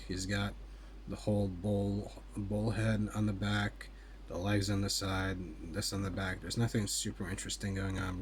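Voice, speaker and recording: Guy with a lowish voice doing a casual toy review, kinda rambly and unsure, noisy background. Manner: rambling, hesitant, conversational. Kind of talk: casual hands-on product review. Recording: clear steady background noise.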